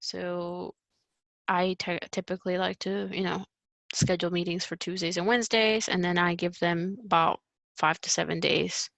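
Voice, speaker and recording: Gravelly-voiced woman giving an informal tutorial. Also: on the low side, steady tone, normal speed, a bit bright, dry recording. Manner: checks in with "right?" and "you know". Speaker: presenter giving a how-to tutorial. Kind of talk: informal instructional walkthrough.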